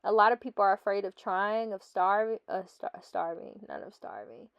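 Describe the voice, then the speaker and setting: A woman's voice: medium pitch, normal speed, clean tone, no echo, lo-fi sound. Chatty stuffy-nosed young woman. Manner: chatty, laughing, talks to viewers as "guys". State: stuffy nose. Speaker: fitness vlogger. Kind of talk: casual voice-over chat.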